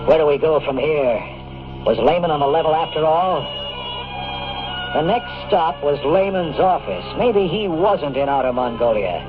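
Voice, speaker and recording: An actor playing a wisecracking, cynical private detective in 1950s radio drama style, with slangy, tough, sardonic delivery. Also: male voice, fairly high pitch, lively intonation, moderate pace, natural voice. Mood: wry, brooding. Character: wisecracking hard-boiled male private detective, weary and cynical. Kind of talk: 1950s radio drama acting, noir first-person narration. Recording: tinny old-time radio recording, music.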